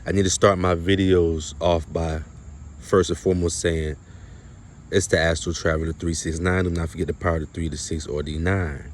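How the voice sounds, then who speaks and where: A man delivering an unscripted spiritual monologue to viewers, halting and emphatic, often repeating his phrases. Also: sits low, little pitch movement, slight background noise. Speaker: spiritual video creator.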